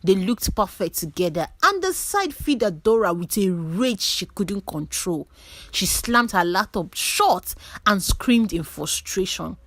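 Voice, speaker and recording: Woman telling a dramatic story, really animated pitch, dry recording. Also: measured pace, dry recording. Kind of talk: dramatic scripted story narration.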